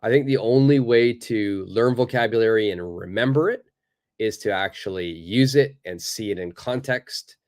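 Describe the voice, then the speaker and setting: A male native English speaker teaching a live online English lesson, answering viewers' questions in a relaxed, chatty way with lots of fillers. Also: on the low side, normal speed. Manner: relaxed and conversational, many fillers, acts out little examples.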